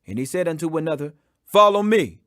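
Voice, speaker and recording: A man reading scripture aloud, emphatic and rising in pitch on quoted speech. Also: lively intonation, a little fast, a bit bright, no echo, studio quality. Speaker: Bible reader.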